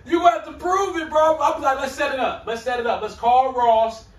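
A man serving as a church elder, talking loud and brash in a heated group talk and laughing often. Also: very high-pitched, fairly even intonation, a little fast. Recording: roomy, mic far off.

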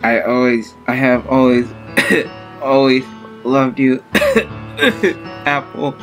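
A man voicing a lovestruck dying banana in a halting, melodramatic read-aloud of a visual novel. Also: mid-range voice, little pitch movement, faint music behind, natural voice. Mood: dying, tender. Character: lovestruck dying banana, coughing out farewell words.

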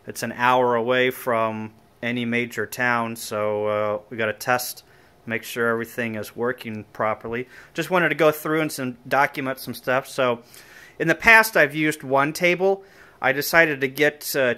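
Clear-voiced man giving a walkthrough. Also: mid-range voice, pushed and bright. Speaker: amateur radio hobbyist. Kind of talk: informal equipment walkthrough. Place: indoor room with equipment on folding tables. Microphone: handheld phone or camera he is holding.

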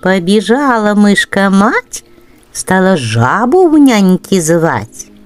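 A woman's voice: medium pitch, sing-song, slow pace, soft background music. A woman storyteller narrating children's verse expressively.

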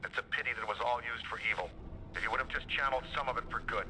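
An actor playing an enthusiastic, chatty ghost-hunting scientist in a video game, marvelling in an eager, wordy way. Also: male voice, mid-range voice, racing, sharp tone, a little hiss or hum, rough recording. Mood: awed.

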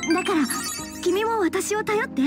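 A woman's voice: very high-pitched, normal speed, music in the background. An actress playing a sweet, earnest teenage girl in love in a game romance, intimate and girlish. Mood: tender, earnest. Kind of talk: Japanese game romance acting.

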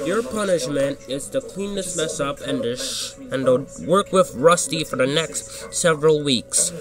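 A stern male railway controller played by a man in a storybook tale. He scolds with annoyance in a high voice while music plays clearly behind him. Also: measured pace, close to an everyday voice.

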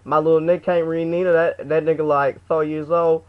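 A man's voice: fairly high pitch, level tone, fairly quick, natural voice. A young man putting on a slangy, stammering, aggrieved customer for a prank phone call. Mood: griping. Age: young adult. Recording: dull lo-fi webcam audio.

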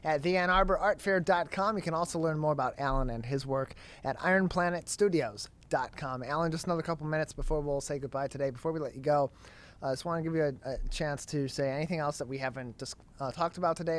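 A man's voice: fairly high pitch, quick pace. A young man with an American accent presenting formally as a talk show host into a close studio microphone. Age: young adult. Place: studio with curtain backdrop. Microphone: close studio mic on boom arm.

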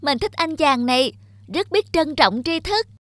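A man's voice: extremely high pitch, lively intonation, a little slow, fairly bright tone, no echo, studio quality, full character voice. A man voicing a smug, boastful young award certificate in a cartoon, haughty and mocking. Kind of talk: cartoon character acting.

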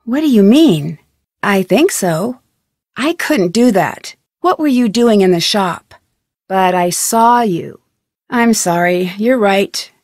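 A woman's voice: mid-range voice, animated, slow pace, a little mellow, no echo, clean studio sound. A woman playing a curious, polite young amateur detective in scripted video game dialogue, asking questions in an inquisitive way. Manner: inquisitive, polite, clearly enunciated. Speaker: game protagonist.

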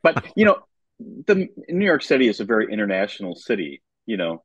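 A man's voice: fairly low pitch, very animated, fairly quick, husky, dry recording. An older man, a retired voice professor, chatting casually as an interview guest.

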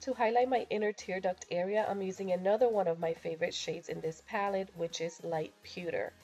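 A woman's voice: mid-range voice, fairly even intonation, fairly quick, a little mellow, faint music behind. A young woman with a nasal voice from a cold, talking casually through a makeup tutorial voice-over. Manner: casual, chatty, a little crude. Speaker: beauty vlogger.

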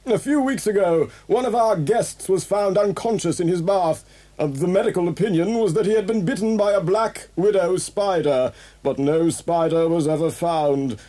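An anxious hotel owner, played by an actor, in an audio drama. His voice is very high for a man and mellow, with formal, fussy phrasing. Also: moderate pace, close to an everyday voice. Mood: worried. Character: anxious, prim hotel owner pleading for help. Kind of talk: 1980s children's audio drama acting.

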